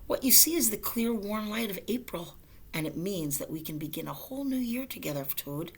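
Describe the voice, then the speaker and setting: A woman voicing an eager, excitable frog friend in a children's storybook, coaxing and calling out. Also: medium pitch, fairly quick, slightly rough. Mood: enthusiastic. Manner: exclaiming, coaxing, shouting calls. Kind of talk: children's storybook character reading.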